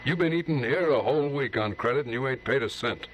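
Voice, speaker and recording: An actor playing a gruff, exasperated frontier eatery owner short on money, in a 1950s radio drama. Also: male voice, medium pitch, fast talker, slight background noise, close to an everyday voice, low-quality recording. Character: grumbling, hard-up frontier eatery owner, middle-aged man. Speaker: restaurant owner.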